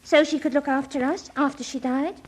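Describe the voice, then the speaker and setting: Woman doing witchy young girl, super high voice, sweet n coaxing, old radio comedy. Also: fairly even intonation, a little fast, close to an everyday voice. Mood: serene, coaxing. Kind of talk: mid-century radio comedy acting.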